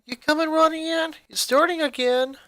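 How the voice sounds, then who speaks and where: Man acting excitable teenage boy, high voice, bright, emphatic, opinionated, story character. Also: moderate pace, dry recording. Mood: puzzled. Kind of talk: fan fiction character reading.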